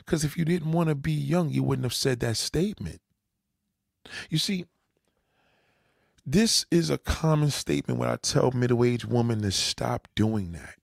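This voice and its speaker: A man with a gravelly, fry-edged voice at an ordinary pitch lectures bluntly, swearing now and then. He keeps checking that the listener understands. The sound is dry and close. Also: unhurried, pauses often, no echo. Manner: lecturing, repeats "you understand?". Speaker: dating advice commentator. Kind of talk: reaction commentary.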